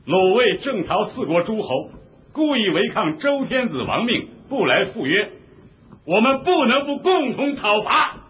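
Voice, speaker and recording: An actor playing a shrewd, earnest chief minister in a historical radio drama, with a husky voice and formal, measured rhetoric. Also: male voice, high-pitched, sing-song, heavily acted. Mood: declaiming. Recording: muffled phone-like radio drama recording.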